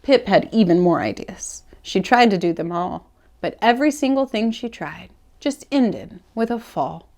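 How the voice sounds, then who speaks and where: A woman with a mid-pitched voice reads a children's picture book in verse. She shapes each line in an expressive, deliberate way, as a library story-time host. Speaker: children's read-aloud host at a public library. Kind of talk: storybook read-aloud for children, rhyming verse.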